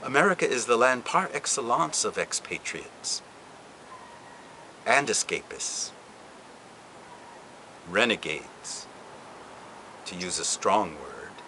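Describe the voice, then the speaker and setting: Man giving an expressive literary reading. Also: lowish voice, slight background noise, rough recording. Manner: expressive, deliberate delivery with dramatic pauses. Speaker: reader.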